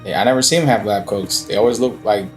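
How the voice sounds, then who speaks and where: A man's voice: lowish voice, quick pace, soft background music. Teasing young man chiming in. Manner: teasing, chatty, cuts in with side comments. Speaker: co-host. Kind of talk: casual gameplay chat.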